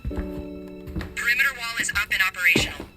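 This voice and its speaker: An actor playing a sober soldier giving matter-of-fact, clipped reports in a game cutscene. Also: male voice, high-pitched, moderate pace, close to an everyday voice. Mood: sober, businesslike. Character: sober military teammate reporting to superior. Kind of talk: video game cutscene acting. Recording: loud music over the dialogue.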